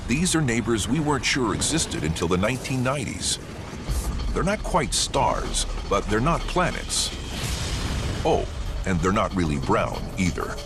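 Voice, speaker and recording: A man delivers scripted documentary narration in a low, lively voice. He phrases things deliberately and dramatically, leaving pointed pauses. Music plays loudly underneath him throughout.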